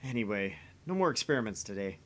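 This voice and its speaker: A man's voice: on the low side, very animated, quick pace, a bit bright. A man voicing a theatrical, grumbling young mad scientist, sardonic and dramatic, in a visual novel read-through.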